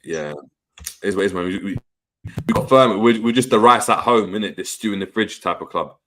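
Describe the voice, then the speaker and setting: Laid-back young man with a deep voice. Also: fairly quick, a bit bright, slight background noise, low-quality recording. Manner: laid-back, slangy, stumbles and repeats words. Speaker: podcast host. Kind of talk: casual football chat, reading comments.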